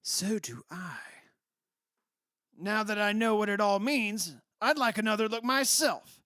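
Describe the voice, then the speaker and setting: A man's voice: high voice, a little slow, bright, forceful tone. A man acting the part of a brash, bossy, middle-aged father in a radio play, blunt and scoffing about superstition. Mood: brisk, bossy. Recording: echoey hall, long reverb, background hiss.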